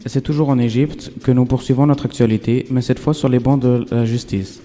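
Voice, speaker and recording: A man reading the week's news items for a school radio show in an even, read-aloud way. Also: mid-range voice, fairly quick, faint music behind. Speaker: student news presenter.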